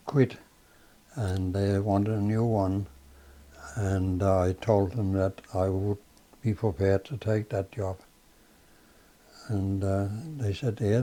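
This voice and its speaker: An older man with a Danish accent, a native Danish speaker, recalling his life in a slow, halting way with many pauses and fillers. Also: fairly low pitch, warm tone. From Denmark. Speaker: father being interviewed. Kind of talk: casual reminiscing interview.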